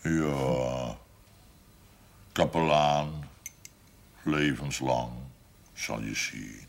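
An actor playing a gruff, stubborn older brewery man in a television drama, talking bluntly and haltingly in mutters. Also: extremely low pitch, heavily acted. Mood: muttering, satisfied. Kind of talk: 1970s television drama acting.

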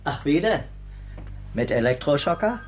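An actor playing a sarcastic police detective who needles a suspect in a radio crime drama. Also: male voice, fairly high pitch, sing-song, moderate pace, a little hiss or hum. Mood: needling. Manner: mocking, needling.

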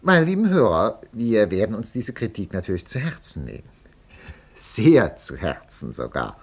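A 1950s radio announcer for a comedy play. The voice is fairly low and slightly rough, extremely animated in pitch, with formal, mock-solemn courtesy. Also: male voice, moderate pace, exaggerated voice. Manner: formal, overly polite, pompous.

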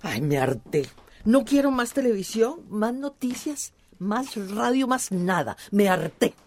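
A woman's voice: mid-range voice, big pitch swings, a little slow, a little mellow. An actress playing a plain-spoken, fed-up woman in a radio soap, speaking emphatically. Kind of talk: radio soap opera acting.

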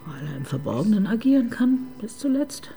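A woman's voice: medium pitch, big pitch swings, brisk, warm tone, faint music behind. A woman playing a shrewd, brooding police chief inspector in a radio crime drama, wry and thoughtful. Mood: pensive. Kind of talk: naturalistic German radio drama dialogue.

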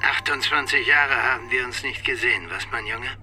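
An actor playing an ageing, sardonic Soviet ex-intelligence officer in a radio play, blunt and familiar in manner. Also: male voice, medium pitch, fairly even intonation, a little fast, background noise, natural voice. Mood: sardonic familiarity. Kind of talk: German radio play dialogue.

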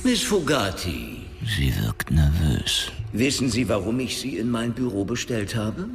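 A swaggering, middle-aged boss in a radio play. His low voice creaks and swings widely in pitch. He is wily and full of himself, a smooth talker who pads phrases with little filler sounds. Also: big pitch swings, unhurried, in a large hall, music in the background. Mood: probing, brusque. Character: brash, wily male company boss, self-important and smooth-talking. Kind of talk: radio drama acting.

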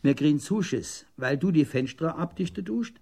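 An actor playing a scheming, grandiose middle-aged civil servant with a Saarland accent, pompous and dreamy in a radio drama. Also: male voice, fairly high pitch, expressive, normal speed, vocal fry, dark tone. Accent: Saarland German.

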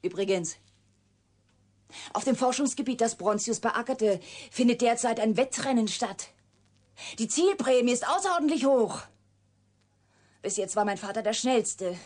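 An actress playing an embittered, secretive woman scientist in a German radio drama, deliberate and intense in delivery. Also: a little high, expressive, darkish tone. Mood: guarded, resolute. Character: embittered woman scientist, secretive product of her father's experiment.

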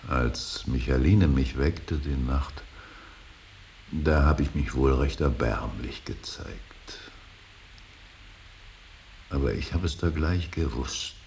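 A stern, brooding old father in mourning for a solemn radio play. His voice is extremely low and gravelly, with halting, weighty phrasing. A faint hiss lies under the recording. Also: a little mellow, heavily acted. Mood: quiet anguish. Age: older. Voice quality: very deep, gravelly. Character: grieving old painter, stern, brooding, bitterly devout father. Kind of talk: slow, solemn German stage-style radio drama acting.

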